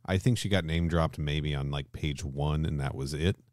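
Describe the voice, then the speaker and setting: A man's voice: very deep voice, normal speed, darkish tone, no echo, clean studio sound. A man co-hosting a podcast in casual discussion, thinking aloud and often circling back to rephrase his opinions. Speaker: podcast host. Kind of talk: casual discussion between co-hosts.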